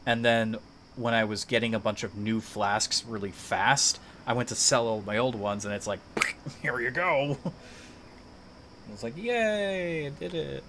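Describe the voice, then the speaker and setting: A man's voice: fairly low pitch, steady tone, unhurried, pushed and bright, slight background noise. A man chatting casually and thinking aloud as he streams a video game, in a playful manner.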